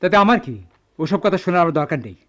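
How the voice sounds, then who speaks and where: An actor playing a proud gold merchant boss in a radio drama, speaking formal Bangladeshi Bengali with theatrical emphasis. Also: male voice, sits high, animated, racing. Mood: stern, suspicious. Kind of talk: Bengali radio play acting. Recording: dull lo-fi radio drama recording.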